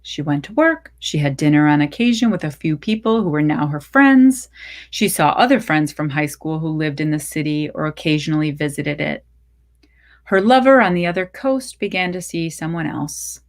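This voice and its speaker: A woman narrates a literary short story in a slightly low voice. She phrases deliberately, giving each clause its own measured space. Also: lively intonation. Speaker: story reader.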